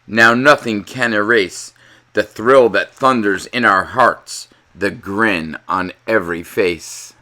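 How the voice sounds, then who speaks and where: A man giving an expressive, dramatic read-aloud in the manner of a children's storyteller. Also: lowish voice, takes it slowly. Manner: animated, dramatic delivery.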